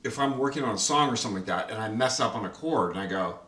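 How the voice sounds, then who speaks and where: A man's voice: on the low side, expressive, racing, a bit bright. A man who co-produced and designed the sound, chatting casually and rambling with lots of "like"s. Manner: rambling, full of "like"s. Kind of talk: casual behind-the-scenes chat. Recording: roomy echo, distant mic.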